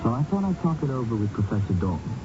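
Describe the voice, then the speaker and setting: An old, muffled radio recording carries an actor playing an earnest young male student. His informal delivery rises and falls in a lively way, in the style of radio drama. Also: medium pitch, fast talker, music in the background, natural voice. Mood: earnest, wry. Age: young adult. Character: earnest young male New England graduate student, unsure of himself.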